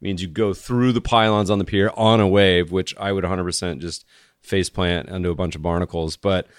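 A man's voice: sits low, fairly even intonation, fairly quick, clear, resonant tone, no room sound, clean studio sound. A man hosting a podcast, chatting casually and joking with his guest in an upbeat way. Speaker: podcast host. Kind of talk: casual interview chat.

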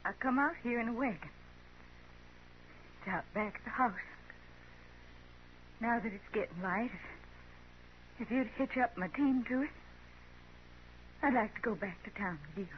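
A woman playing a weary, resigned, plain-spoken frontier woman in a 1950s radio western, rustic and halting. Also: on the high side, close to an everyday voice. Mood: sad, resigned. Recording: muffled, hissy 1950s radio recording.

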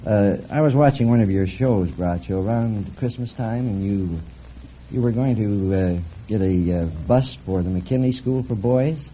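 A man's voice: lowish voice, moderate pace, mellow. A man, a quiz contestant, talking politely and a little hesitantly. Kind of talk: conversational interview talk. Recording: dull, hissy vintage radio broadcast.

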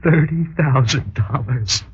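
An actor playing a nervous, guilt-ridden husband in an old radio drama, with a husky voice and a halting, stammering delivery. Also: male voice, medium pitch. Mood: desperate, confessing. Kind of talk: mid-century radio drama acting. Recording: dull, lo-fi old radio recording.

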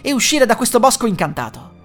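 A woman voicing a young boy, a steady older brother who speaks plainly and sensibly. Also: fairly high pitch, fast, soft background music, voice pushed up. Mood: sensible.

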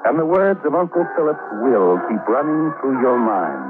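Actor as ominous radio narrator over loud music. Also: male voice, medium pitch, big pitch swings, normal speed, close to an everyday voice. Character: knowing, ominous male narrator addressing the heroine. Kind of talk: old-time radio suspense narration in second person. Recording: narrow-band vintage radio, loud music behind.

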